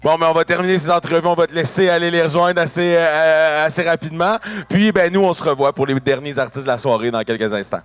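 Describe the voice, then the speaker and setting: A man's voice: a little high, fairly even intonation, fast, hardly any pauses. A man interviewing an artist backstage at a festival, casually, often stumbling over his words. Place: backstage at a concert venue.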